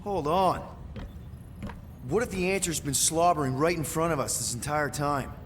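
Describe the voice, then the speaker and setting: A man's voice: a little high, normal speed, a little hiss or hum, natural voice. A man playing a scrappy, upbeat human leader of a space crew in a video game cutscene, with a casual, persuasive way of talking.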